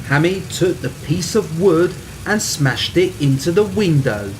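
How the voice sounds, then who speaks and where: A man's voice: fairly high pitch, expressive, measured pace, noisy background. A man narrating a scripted Halloween radio story in a dramatic style.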